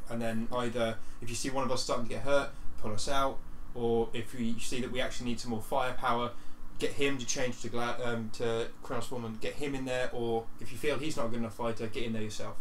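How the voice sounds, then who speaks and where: Casting: male gamer giving explanatory advice at the table, middle pitch, faint room noise. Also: steady tone, brisk, poor audio. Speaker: player. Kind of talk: casual coaching chat during a tabletop game. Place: living room indoors.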